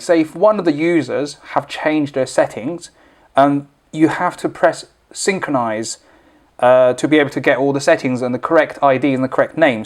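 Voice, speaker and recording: A man presenting an informal, unscripted product tutorial, explaining things step by step as he demonstrates. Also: on the high side.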